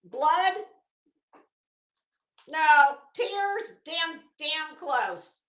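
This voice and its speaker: A woman's voice: high-pitched, expressive, very slow, a bit bright. A middle-aged woman chatting casually as a livestream host, greeting viewers with teasing, salty banter. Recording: echoey room.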